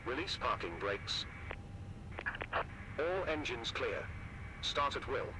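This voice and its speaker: A man playing a brisk airport ground crew worker, calling terse procedural updates over an intercom. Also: mid-range voice, big pitch swings. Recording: intercom with loud aircraft noise.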